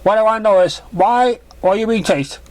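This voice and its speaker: A motherly winged pony from a cartoon story, acted out by a man, raised well above his natural pitch. The mare is caring and reassuring, gentle yet firm with her words. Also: moderate pace, close to an everyday voice. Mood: concerned.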